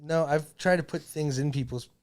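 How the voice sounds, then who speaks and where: Sheepish man with a higher voice. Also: normal speed. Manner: defensive, sheepish under teasing. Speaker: podcast co-host. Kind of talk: casual chat.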